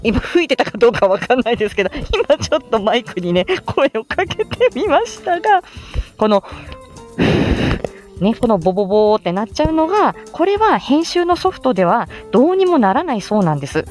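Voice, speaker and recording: A woman's voice: on the high side, big pitch swings, fairly quick, darkish tone, faint music behind. A woman hosting a how-to audio show, explaining things step by step in casual, polite, unscripted talk with an encouraging manner. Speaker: host of a how-to audio show for amateur voice actors.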